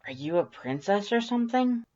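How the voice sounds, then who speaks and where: A reader voicing a loud, brash, excitable woman from a video game in fan fiction, yelling bluntly, on a slightly muffled recording. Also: mid-range voice, normal speed, voice pushed up, close to an everyday voice. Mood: awestruck.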